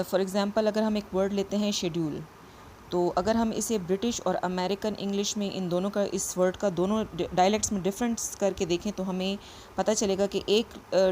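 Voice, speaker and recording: A woman teacher with a voice, explaining a lesson patiently and reading English definitions out slowly. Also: medium pitch, darkish tone, a little hiss or hum. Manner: explains patiently, repeats points, reads English lines slowly.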